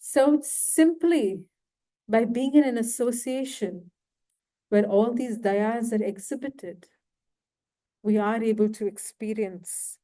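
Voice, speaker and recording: A woman giving a devotional lecture to a temple audience, slow and often asking questions. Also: a little high, mellow, low-quality recording. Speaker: guest lecturer. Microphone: handheld mic, failing at times.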